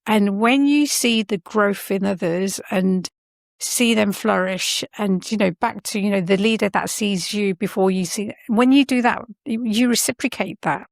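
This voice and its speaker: A middle-aged woman talks in an earnest, reflective way, often pausing to restart and correct herself mid-sentence. Her voice carries a gravelly edge of fry. The recording is dry and close, with little room sound. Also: mid-range voice, no echo. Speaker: podcast guest, science and healthcare leader. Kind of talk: reflective interview conversation.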